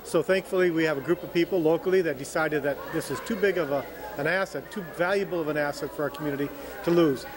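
High-voiced older man, folksy and chatty. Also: fast, a crowd in the background. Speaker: museum volunteer. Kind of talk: interview answers. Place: indoors in a wooden barn. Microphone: handheld mic held by reporter.

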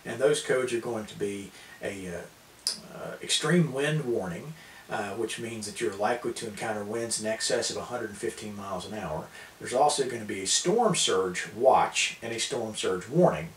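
An American man in his thirties giving an informal explainer to camera, with a halting delivery full of pauses. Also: on the low side, expressive. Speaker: preparedness video host. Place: small indoor room at a table. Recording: roomy, distant mic, hissy background.

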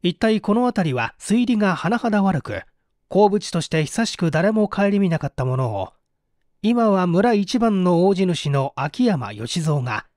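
A man's voice: sits high, darkish tone, no room sound, studio quality. A man reading a mystery story aloud as dramatic scripted audiobook narration. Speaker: audiobook narrator, stage actor.

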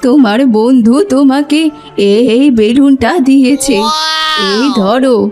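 A woman playing a sly old granny witch in a cartoon, coaxing children in a drawn-out, sugary way. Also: sits high, over music. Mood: sly persuading. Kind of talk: cartoon voice acting.

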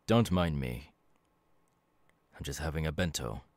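A gentle, melancholy demon who was once human , acted by a man in an ASMR audio roleplay. The voice is extremely low and slow, close and very dry, with reflective pauses between phrases. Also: animated, no echo, heavily acted. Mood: calm, wistful. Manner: measured, pausing, reflective. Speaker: voice actor.

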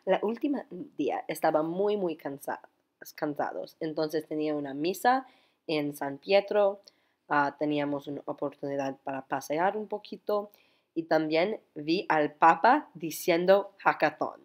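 A woman's voice: low voice, measured pace, dry recording. A young woman with English as her first language, vlogging on her travels in learner's Spanish with slips and restarts, filming herself at arm's length on a phone. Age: young adult. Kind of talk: travel vlog to camera. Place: outdoors in a city, phone held at arm's length.

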